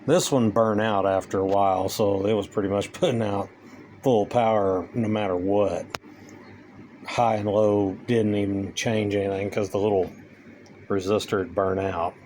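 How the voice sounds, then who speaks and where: Low-voiced, folksy man over noisy background. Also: fairly even intonation, moderate pace, a little mellow. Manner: folksy, plainspoken, informal grammar. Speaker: hobbyist presenter demonstrating radio gear. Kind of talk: casual hands-on demonstration.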